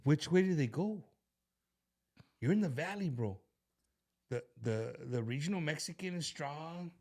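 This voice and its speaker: Animated Texan man hosting a chat. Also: a little high, unhurried. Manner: animated, loose, many restarts, lots of "bro". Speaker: show host. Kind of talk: casual chat with a guest.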